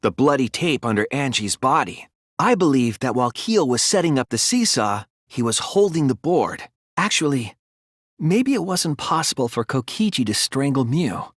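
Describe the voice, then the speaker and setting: An earnest young male detective in a video game lays out his reasoning with care. His mid-pitched voice moves expressively and has a soft, husky edge. The recording is dry and close, and the delivery is thoughtful and analytical. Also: big pitch swings, dry recording. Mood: measured reasoning. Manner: deliberate, earnest explaining. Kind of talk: scripted video game voice acting, deduction speeches.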